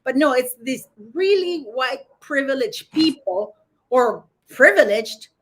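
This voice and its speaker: A woman hosting a casual live chat who speaks English with an accent and talks in a rambling, halting way with many fillers. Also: high-pitched, animated, a little hiss or hum, low-quality recording. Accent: non-native English accent. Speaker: channel host.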